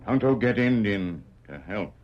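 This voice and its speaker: Casting: loyal western scout sidekick, low and unhurried, terse grunted pidgin, muffled old radio sound. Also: male voice, expressive. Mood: stoic. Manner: clipped pidgin phrases, grunted replies. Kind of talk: 1940s radio western acting. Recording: muffled vintage radio recording, faint noise.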